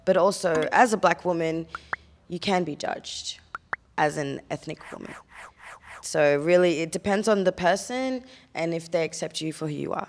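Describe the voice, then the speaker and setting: Lower-pitched young woman from Ethiopia. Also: moderate pace, a little hiss or hum. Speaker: panel guest. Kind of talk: casual discussion.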